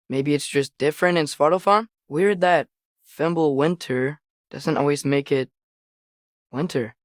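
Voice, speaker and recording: An earnest, curious teenage boy played by a young man from a fantasy video game. His voice sits fairly high for a male and sounds youthful and conversational. The recording is close and dry. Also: dry recording, natural voice. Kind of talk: naturalistic video game voice acting.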